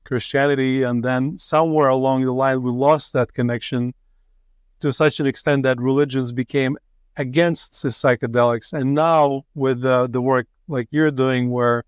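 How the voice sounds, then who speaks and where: A man from Latvia, a Russian speaker, hosting a conversational podcast interview in a halting, reflective way. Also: mid-range voice, clean tone, no echo, studio quality. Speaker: podcast host.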